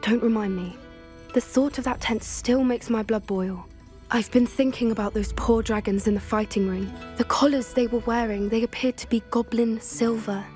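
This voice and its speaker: A woman acts out a compassionate teenage witch in a fantasy video game. Her voice is fairly high, earnest and determined. Faint music sits behind it. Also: fairly even intonation, normal speed, a little mellow, close to an everyday voice. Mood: indignant, concerned. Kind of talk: scripted video game acting.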